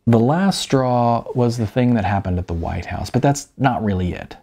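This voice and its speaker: A man reading the audiobook dialogue of an evasive, awkward aging male journalist, halting and hedging. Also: medium pitch, expressive, vocal fry, mellow. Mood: reluctant.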